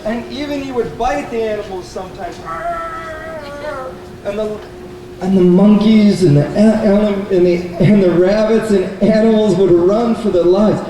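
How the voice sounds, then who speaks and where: Guy telling kids a story at a puppet show, slowish, kinda higher voice, roomy far off sound with faint noise. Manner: deliberate, asks the children questions. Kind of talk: children's storytelling to a live audience. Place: indoor bookstore event, live. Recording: echoey room, distant mic, faint noise.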